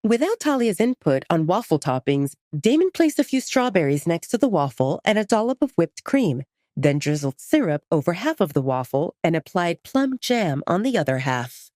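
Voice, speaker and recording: Expressive female story narrator, very dry sound. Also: on the low side, moderate pace, no echo. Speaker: audio drama narrator. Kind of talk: scripted dramatic story narration.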